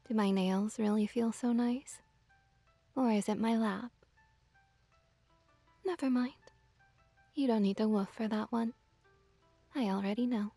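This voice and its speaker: Woman as a slow, soft-voiced teasing fox witch. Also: fairly high pitch, dry recording. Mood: tender teasing. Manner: soft, breathy, slow cooing delivery, often near whisper. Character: teasing, gently dominant kitsune fox witch, doting and seductive. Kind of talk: ASMR roleplay, intimate storytelling. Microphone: close ASMR microphone.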